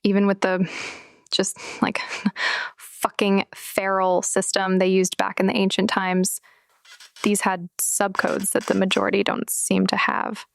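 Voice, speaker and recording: A woman playing a blunt, determined young hacker sleuth in an audio drama, with a deadpan manner. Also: mid-range voice, measured pace, gravelly, no room sound. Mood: blunt, resolute.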